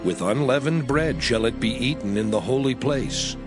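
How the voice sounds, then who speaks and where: Solemn man reading scripture with lively intonation. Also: mid-range voice, music in the background. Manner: solemn, measured, declamatory. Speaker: scripture reader.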